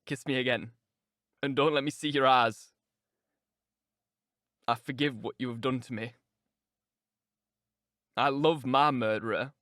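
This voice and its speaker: A man's voice: mid-range voice, steady tone, measured pace, long pauses, a bit bright, dry recording, studio quality, pitched below the performer's own voice, natural voice. A man playing a brooding, passionate, tormented lover with an intense, earnest murmur in an audiobook drama. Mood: anguished, tender.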